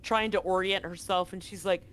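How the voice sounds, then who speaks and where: A woman's voice: mid-range voice, steady tone, normal speed, a bit bright. A woman game master improvising narration for a tabletop game, casually swearing, on a live stream with faint noise. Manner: casually profane.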